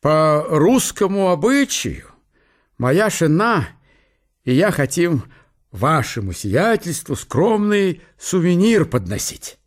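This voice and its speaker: Actor as a high-voiced, obsequious German general. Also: male voice, sing-song. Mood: proud, flattering. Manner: halting, formal, broken foreign phrasing. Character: deferential German general, stilted, obsequious and eager to please.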